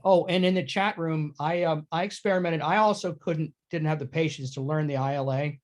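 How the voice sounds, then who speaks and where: Man, fairly high voice, conversational and opinionated, taking part in a casual theatre chat. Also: fairly quick. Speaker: participant in theatre discussion. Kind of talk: casual discussion.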